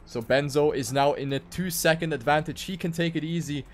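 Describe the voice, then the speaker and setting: A man's voice: fairly high pitch, brisk, faint music behind. A man giving live commentary on a video game race.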